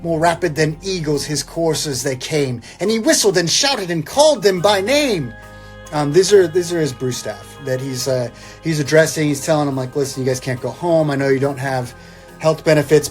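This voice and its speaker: A man with a fairly high voice performs over faint instrumental Christmas music. He moves between theatrical verse recital and wry, casual side commentary, keeping an irreverent comic edge. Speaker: guest reader, comedic poem reciter. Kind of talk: dramatic poem recital broken by casual joking asides.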